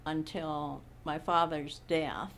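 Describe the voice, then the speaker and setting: A woman in her eighties from Montana, recalling her childhood slowly in an oral history interview. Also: low-pitched, steady tone, a bit bright, a little hiss or hum. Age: 80s. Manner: slow, unhurried recollection with frequent pauses. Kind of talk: reminiscing in a recorded interview.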